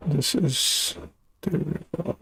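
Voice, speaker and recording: A man's voice: fairly high pitch, slow, gravelly, fairly bright tone, breathy edge. A man giving casual live gameplay commentary, thinking aloud in short murmured phrases into a desk microphone. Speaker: live streamer playing a video game. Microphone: USB desk microphone.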